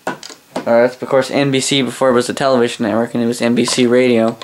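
Canadian guy doing a casual gadget review, monotone, rambles and restarts a lot. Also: mid-range voice, slight background noise. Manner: halting, self-correcting, rambling. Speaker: product reviewer. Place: small indoor room at a desk.

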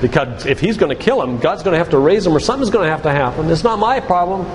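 An older man delivers a sermon-like lecture with emphatic, preacherly phrasing. His voice sits a little high for a man. It rings through a church hall with long reverb and some noise behind it. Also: lively intonation, fast talker. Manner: emphatic, preacherly, with rhetorical questions. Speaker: physician giving a faith-based lecture. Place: church, speaking to a congregation.

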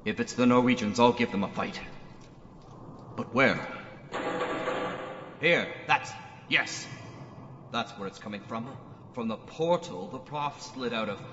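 A man playing a plucky, bewildered old-fashioned space hero in a radio serial, exclaiming and talking to himself haltingly. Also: mid-range voice, natural voice. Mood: wary. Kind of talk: pulp radio serial acting. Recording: dull, reverberant, faint noise.

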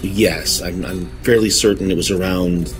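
A man playing a troubled, genteel suspect in an audio horror drama, halting, formal and earnest. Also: sits low, steady tone, soft background music, lightly acted. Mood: haunted, reserved. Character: troubled, genteel man confessing to police, desperate.

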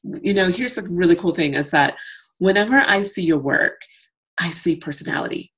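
Woman, interview host, video call, enthusiastic, breathy voice, many fillers. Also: fairly low pitch, moderate pace, a bit bright. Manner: enthusiastic, affirming, lots of fillers.

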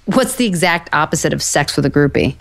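A woman's voice: low-pitched, lively intonation, a little fast, gravelly. An actress playing a blunt, sarcastic, self-deprecating woman writer in a naturalistic romantic comedy audio drama. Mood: dry, teasing.

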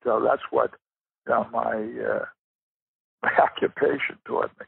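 An older man giving a reflective interview as a guest, with a gravelly, husky voice and deliberate, halting phrasing. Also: mid-range voice, level tone, no echo. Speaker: interview guest, writer and rare book dealer. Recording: thin phone-like line.

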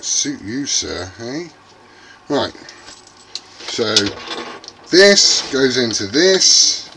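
A British man talks slowly and casually, as if half to himself, with swearing and joking along the way. His pitch is middling for a man. The recording is very noisy, with clicks from handling things in a small room. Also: very animated. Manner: sweary, jokey, muttering to himself while handling items. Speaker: video host unboxing CB radio gear. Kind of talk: casual unscripted vlog chat. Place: small indoor radio workshop. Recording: noisy room, loud background noise, clicks.

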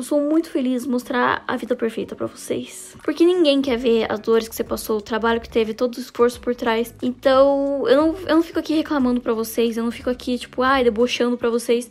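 A young woman from Brazil vlogging casually to camera in her bedroom, chatty and addressing viewers as friends, a bit tired and losing her voice. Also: a little high. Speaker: YouTuber. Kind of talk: casual vlog chat to camera. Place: bedroom, indoors. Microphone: handheld camera close to face.

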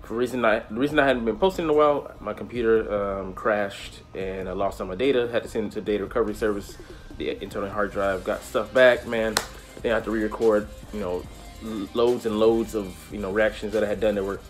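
A man's voice: lowish voice, brisk, faint music behind. A young man chatting casually and with lots of slang as a reaction video host, recorded in a small room. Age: young adult. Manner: animated, slangy. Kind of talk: casual vlog reaction chat.

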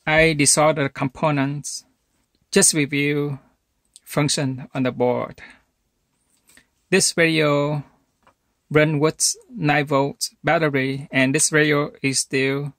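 A man presents a hands-on electronics demonstration at a very slow pace. His phrases are short and halting, with little melodic movement. The sound is dry, with almost no room in it. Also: on the high side, level tone, fairly bright tone, no room sound. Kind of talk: instructional demonstration narration.

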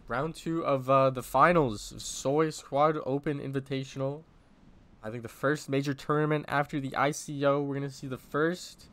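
A man with a sick, strained voice casually commentating a livestreamed game match in an informal, rambling, sweary way. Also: medium pitch. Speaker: esports commentator, livestream host.

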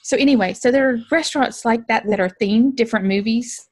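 A woman's voice: a little high, fairly quick, darkish tone, no room sound. Chatty woman podcaster, dry close sound. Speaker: podcast co-host. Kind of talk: casual chat. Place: home room, video call.

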